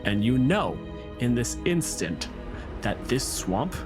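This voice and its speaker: A man running a tabletop game as game master, making rulings and narrating scenes in deliberate, descriptive second person. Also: mid-range voice, sing-song. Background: music behind the voice.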